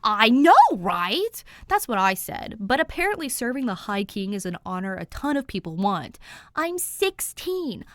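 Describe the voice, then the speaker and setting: A woman's voice: medium pitch, sing-song, measured pace, a bit bright, no echo, studio quality, pitched above the performer's own voice. A woman doing a flustered teenage boy king. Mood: exasperated. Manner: ranting, exasperated.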